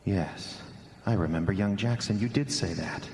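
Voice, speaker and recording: A man playing a guilt-ridden, brooding chemist in a halting old-time radio drama monologue. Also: lowish voice, creaky, darkish tone. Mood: bitter, remembering. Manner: halting, repetitive interior monologue. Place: stage before a live audience. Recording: echoey live hall, some noise.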